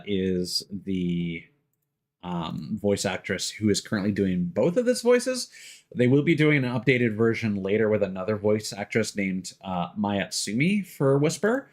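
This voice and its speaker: A man chatting casually and haltingly as he commentates on his own video game stream, thinking aloud. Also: on the low side, expressive, a little mellow. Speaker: live streamer. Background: video game music.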